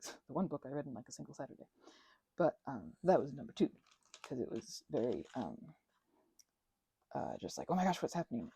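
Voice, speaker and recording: Very creaky-voiced woman rambling to viewers. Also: sits low, warm tone, low-quality recording. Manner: effusive, gushing, repeats words for emphasis. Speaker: crafting vlogger and ASMR creator. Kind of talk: rambling casual chat to viewers.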